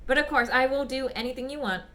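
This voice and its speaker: A woman voicing a smitten, bashful gentleman writing polite, apologetic, ardent love letters in a dramatic reading. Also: high-pitched, fast. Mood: pleading, flustered. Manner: polite, apologetic, ardent, old-fashioned phrasing. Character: smitten, bashful, gushing gentleman letter writer back from China.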